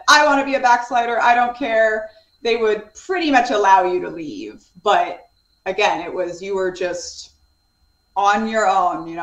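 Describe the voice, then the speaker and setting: A woman's voice: mid-range voice, fairly bright tone, reverberant, low-quality recording. A woman in her thirties, an author talking earnestly and reflectively as a guest on a video call from her home study. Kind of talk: conversational interview.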